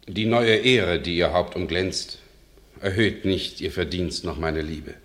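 A deep-voiced devoted knight. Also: male voice, unhurried, a bit bright. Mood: earnest. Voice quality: earnest, grave. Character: devoted noble knight, earnest suitor. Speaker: actor in a radio play. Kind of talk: classic verse radio drama.

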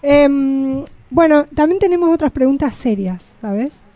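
A woman's voice: sits high, animated, unhurried, warm tone, no room sound. An Argentine woman hosting a radio show, interviewing in a casual, conversational way.

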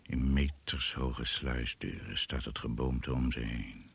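A man narrating a radio drama gravely and ominously, in deliberate, incantatory short phrases, on an old recording. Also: rumbling low, a little hiss or hum, full character voice. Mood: ominous, measured. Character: grave, ominous male narrator of a jungle tale.

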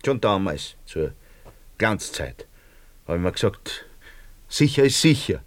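An actor playing a nervous, self-justifying barber in a radio drama, rambling colloquially with a Viennese accent. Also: male voice, lowish voice, very animated, measured pace, no echo. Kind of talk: naturalistic radio drama dialogue.

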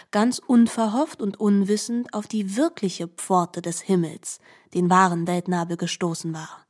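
A woman narrating scripted literary prose for radio in a measured, formal storytelling manner. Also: medium pitch, darkish tone.

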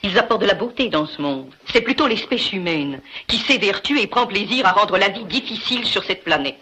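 A woman's voice: medium pitch, expressive, brisk, bright, forceful tone, husky. An actress dubbing a prim, know-it-all woman ornithologist in a film, lecturing and dismissive. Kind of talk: dubbed film dialogue.

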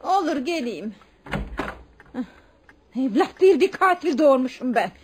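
A woman's voice: sits high, sing-song, measured pace, a little mellow, a little hiss or hum. An actress playing a bitter, scornful middle-aged mother in a radio play. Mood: bitter, venomous. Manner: scornful, venomous. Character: bitter, spiteful, witch-like mother of grown son. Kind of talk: Turkish radio theatre acting.

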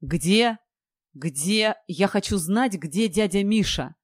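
A narrator puts on a young man for an audiobook, nervous and easily flustered. The delivery is halting, eager and pleading. It is recorded dry. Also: mid-range voice, lively intonation, no room sound. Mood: desperate.

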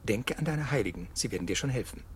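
Actor as a nervous, apologetic amateur blackmailer. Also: male voice, fairly low pitch, expressive, fast, close to an everyday voice. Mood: anxious, whispering. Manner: anxious muttering to himself. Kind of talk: 1970s German radio play acting. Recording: 1970s radio drama, noisy ambience.

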